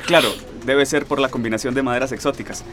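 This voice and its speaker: An actor as a polite, nerdy young Costa Rican man, eager to impress. His mid-pitched voice runs on in pedantic little explanations, with faint ambience behind, in radio drama style. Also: fairly even intonation, fast talker, background noise, close to an everyday voice. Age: young adult. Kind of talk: naturalistic radio drama acting.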